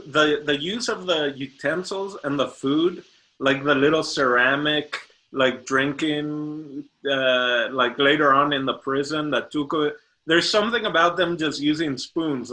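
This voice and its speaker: A man's voice: a little high, bright, forceful tone. A man co-hosting a casual movie review chat, rambling with many restarts and fillers. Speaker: podcast co-host.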